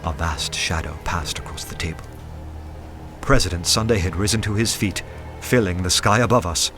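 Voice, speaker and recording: Low-voiced, anxious undercover detective. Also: male voice, a bit bright, faint music behind. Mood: tense resolve. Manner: dramatic, earnest, measured. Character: anxious undercover detective man narrating his dread. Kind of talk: first-person radio play narration.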